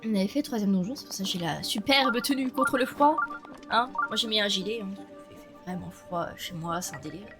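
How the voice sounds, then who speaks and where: A woman's voice: mid-range voice, brisk. A young woman with a stuffy, slightly nasal voice from a cold, chatting casually to viewers at home while she plays a game. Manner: chatty, laughs often, talks to viewers. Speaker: let's play streamer. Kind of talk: casual gameplay commentary. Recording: game music behind the voice. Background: game music.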